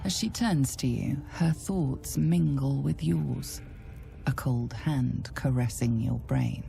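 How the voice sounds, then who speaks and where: An omniscient fantasy narrator, speaks slowly in a dark, very creaky voice. She is hushed and solemn, building suspense in scripted game narration. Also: very deep voice, heavily acted. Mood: solemn, mysterious. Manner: measured, suspenseful. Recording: faint music behind.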